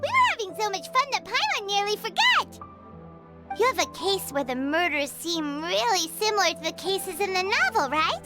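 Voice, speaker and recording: A woman voicing a small, chatty, cheerful fairy companion in a video game, with a squeaky childlike, cutesy delivery. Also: very animated, normal speed, loud music behind the voice. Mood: chirpy. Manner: cutesy, refers to self in third person.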